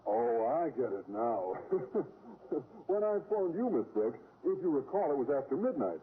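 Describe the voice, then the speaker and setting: An actor playing a naive, mild-mannered schoolteacher, earnest and innocent, in an old radio sitcom. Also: male voice, mid-range voice, sing-song, normal speed, natural voice. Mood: earnest explaining. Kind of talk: 1940s-50s radio sitcom acting. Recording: tinny vintage radio with faint noise.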